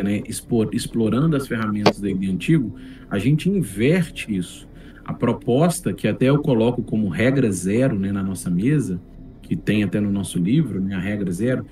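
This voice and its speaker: A man's voice: medium pitch, a little mellow. A man with a Brazilian accent chatting on a podcast in a conversational, explanatory way. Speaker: podcast speaker and game master. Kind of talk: casual podcast discussion. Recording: distant mic under clear background music. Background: music.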